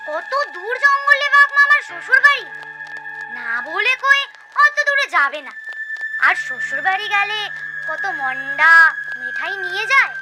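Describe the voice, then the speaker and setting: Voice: extremely high pitch, expressive, normal speed, rough recording. A performer voicing an anxious young animal in a cartoon, worried and pleading. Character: anxious small animal, young nephew of a tiger. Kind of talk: cartoon voice acting. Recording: loud music behind the voice.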